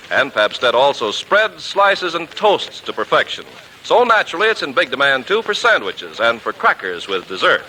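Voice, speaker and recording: Old time radio announcer guy, polished sales read, highish lively voice, vintage recording. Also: a little hiss or hum, poor audio. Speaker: radio announcer and sponsor pitchman. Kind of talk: 1940s radio advertising announcing.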